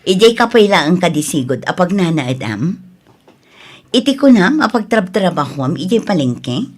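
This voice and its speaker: A woman's voice: on the low side, big pitch swings, moderate pace, a little mellow, husky. A woman with a Filipino accent playing a kindly, encouraging schoolteacher with a motherly, persuasive manner in an Ilocano radio drama. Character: kindly, encouraging female schoolteacher, older than her student.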